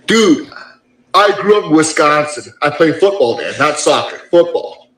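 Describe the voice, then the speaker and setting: A man's voice: on the high side, sing-song, normal speed, a bit bright, reverberant, rough recording. A man speaking emphatically and boastfully in short clipped phrases, as in a comedy bit.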